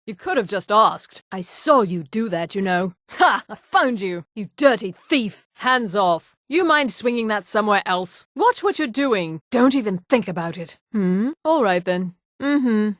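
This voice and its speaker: An actress playing a proud, haughty high elf woman in a fantasy video game, speaking with crisp, condescending articulation. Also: no room sound, clean studio sound. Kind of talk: scripted video game voice lines.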